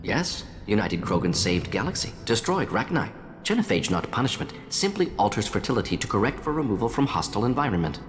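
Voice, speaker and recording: A man's voice: fairly low pitch, sing-song, fairly quick. A man acting as a brilliant, defensive and remorseful alien scientist in a video game, speaking in clipped, analytical fragments that drop small words. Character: fast-thinking alien scientist doctor, brilliant, defensive, remorseful male Salarian. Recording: long reverb with music underneath.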